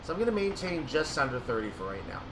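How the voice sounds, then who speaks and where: A man giving casual commentary on a train simulator, thinking aloud and explaining in an unhurried way. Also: medium pitch, big pitch swings. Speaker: gaming video creator. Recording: distant mic, clear background noise.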